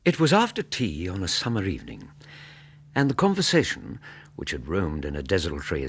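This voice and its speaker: An actor playing a genial, inquisitive English gentleman companion and narrator in a radio drama, speaking in measured, literary phrasing. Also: low-pitched, a little hiss or hum, full character voice.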